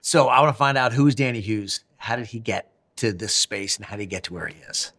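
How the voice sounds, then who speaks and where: A man's voice: fairly low pitch, brisk, a bit bright. A man hosting a podcast interview, upbeat and playful, and he often jumps in with remarks. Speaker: podcast host. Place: hotel interior.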